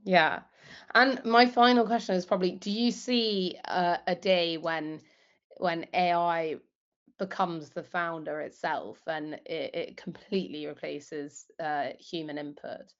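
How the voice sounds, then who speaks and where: A woman presenting and interviewing on an informal live news show, with a halting, hesitant delivery. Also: on the low side, steady tone, highs cut off.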